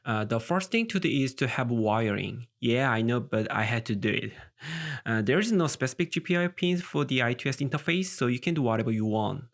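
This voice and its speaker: Creaky-voiced man narrating a tech tutorial. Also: mid-range voice, animated, brisk. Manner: hesitant, with frequent uh fillers. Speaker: host of an electronics tutorial channel.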